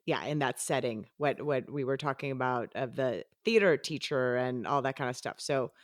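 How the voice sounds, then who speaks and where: A woman's voice: rumbling low, normal speed, dry recording. Casting: middle-aged female podcast host, non-native English accent, encouraging and casual, dry close sound. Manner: encouraging, affirming listener. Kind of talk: casual conversational interviewing.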